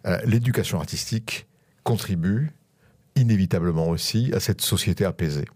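Interview guest: middle-aged man from France, very deep voice, halting speech full of fillers. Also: a little mellow. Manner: hesitant, many fillers and restarts. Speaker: guest, school director. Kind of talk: interview on radio.

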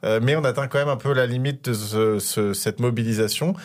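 A man's voice: mid-range voice, fairly quick. A man, an author and interview guest, explaining at length with frequent hesitations. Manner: fluent explanatory, many "euh" fillers. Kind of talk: radio interview.

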